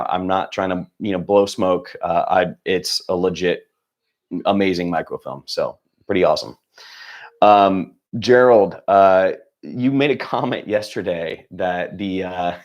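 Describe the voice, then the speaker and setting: A young man hosting a casual livestream, hoarse and close to losing his voice, talking in an upbeat, rambling way with lots of fillers and restarts. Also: low voice. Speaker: channel host.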